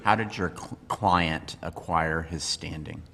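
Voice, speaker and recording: A man's voice: rumbling low, takes it slowly, creaky, pushed and bright. A male appellate judge pressing counsel with pointed, probing questions from the bench. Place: courtroom. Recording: long courtroom reverb, faint room hum.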